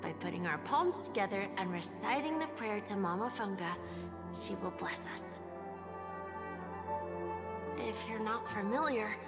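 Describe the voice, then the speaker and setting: A woman voicing an earnest young child in a video game, speaking with a slow, sincere delivery. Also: medium pitch, lively intonation, lightly acted. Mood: gentle, curious. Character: young child in a story, earnest, sometimes distressed and pleading. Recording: loud music and rain behind. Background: loud game music, rain.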